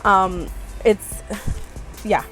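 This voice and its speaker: A woman's voice: medium pitch, drawn-out words, fairly bright tone, faint music behind. A woman beauty vlogger with a stuffed-up, congested voice, chatting casually and rambling with lots of ums while she shows her purchases. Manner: chatty, rambling, many ums and "you guys". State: stuffed up from allergies. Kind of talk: casual haul chat. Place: small RV room.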